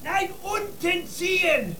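A man's voice: extremely high pitch, lively intonation, takes it slowly, bright, forceful tone, heavily acted. An actor playing a poor, stubborn, dreamy ragpicker father in a children's radio play, exclamatory and playfully dogged. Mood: exasperated.

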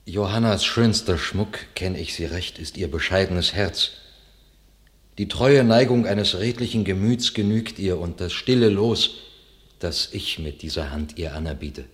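Loyal knight, very low voice, gruff, sincere, radio drama. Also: male voice, measured pace. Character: plain-spoken loyal knight suitor. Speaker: actor in a radio play.

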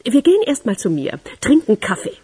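An actress playing a wry, aloof translator with a dry, teasing way of talking in a German radio crime drama. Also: female voice, on the high side, big pitch swings, brisk, warm tone, husky, full character voice. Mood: wry, playful. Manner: dry, teasing, self-deprecating. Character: wry, aloof woman translator with a bad back.

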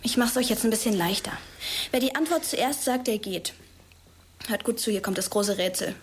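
A woman's voice: a little high, normal speed, natural voice. An actress playing a provocative, streetwise young woman in a naturalistic German radio drama. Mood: taunting. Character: provocative young woman trapped in a besieged school. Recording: audible background noise.

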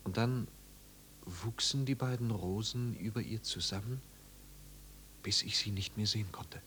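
An actor playing a troubled, melancholy young man in a 1950s radio drama, halting and eerily calm. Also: on the low side. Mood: dreamy, eerily calm. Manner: halting, subdued, trance-like. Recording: hum and hiss of 1950s radio.

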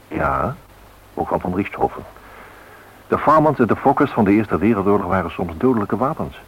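An actor playing a grave, reflective male narrator in a radio play, with musing, deliberate phrasing. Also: low-pitched, husky, full character voice. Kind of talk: dramatic scripted narration. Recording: dull old radio recording.

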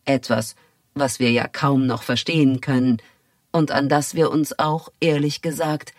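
A man's voice: mid-range voice, level tone, a little slow, dry recording, studio quality. A man giving a reflective narration.

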